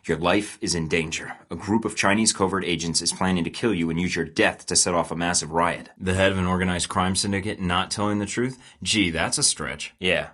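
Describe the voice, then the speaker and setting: A deep, dry-witted secret agent. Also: male voice, a bit bright. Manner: dry, sarcastic quips. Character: wry male spy agent, adult. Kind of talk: video game voice lines. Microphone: studio mic.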